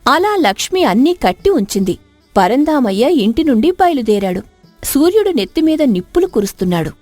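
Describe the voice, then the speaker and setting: A woman's voice: sits high, sing-song, a little fast, a little mellow, no room sound. A woman storyteller reading a scripted moral story as narrator. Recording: dry studio narration over music.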